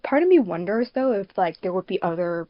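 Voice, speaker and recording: A woman with a slightly low voice hosts a casual podcast. She is chatty and peppers her talk with "like". The recording is dry but dull and lo-fi. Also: animated, fairly quick, dry recording. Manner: chatty, frequent "like" as filler. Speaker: podcast host and fandub producer.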